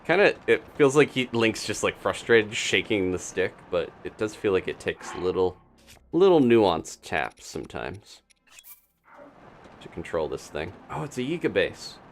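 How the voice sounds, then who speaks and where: A man's voice: on the low side, very animated, unhurried, slight background noise. A man giving casual live gameplay commentary as a streamer, thinking aloud as he plays. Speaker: game streamer, host.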